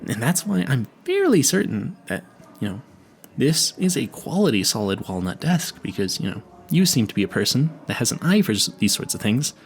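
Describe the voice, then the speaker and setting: A man's voice: medium pitch, big pitch swings, normal speed, creaky, slight background noise. A man playing a nervous, clueless young vampire hunter in an audio roleplay, stammering and easily sidetracked as he earnestly chats to the listener. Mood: excited, proud.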